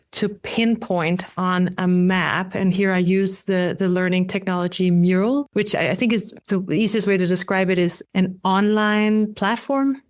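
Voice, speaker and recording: A woman professor from Denmark, speaking English as a second language, talks as an interview guest. She explains things carefully at a middle pitch, often repeating a word as she forms the next phrase. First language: not English. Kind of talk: podcast interview, explaining own teaching.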